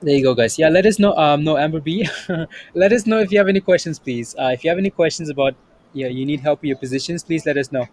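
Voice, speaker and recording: A man's voice: fairly high pitch, quick pace, a little mellow. A man hosting a casual trading livestream, upbeat and chatty, often saying yep and there you go. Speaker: livestream host.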